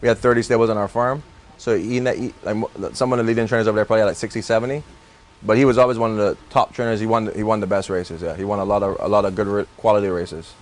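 A man's voice: on the low side, steady tone, rapid-fire. A young Barbadian man, a racehorse trainer, chatting loosely in an interview with many restarts and fillers. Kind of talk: casual interview.

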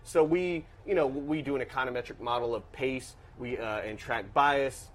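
A man's voice: medium pitch, moderate pace. A man of fifty who grew up in Washington, D.C., a professor and guest, talking through his background in a thoughtful, analytical way with many restarts. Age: 50s. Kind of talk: casual interview storytelling.

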